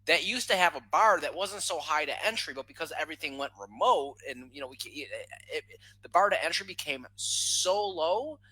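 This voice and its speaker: Bright-voiced man in his thirties, rambling. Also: fairly high pitch, normal speed, husky, no room sound, low-quality recording. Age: 30s. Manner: rambling with many restarts, animated. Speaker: podcast guest. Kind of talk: casual panel discussion.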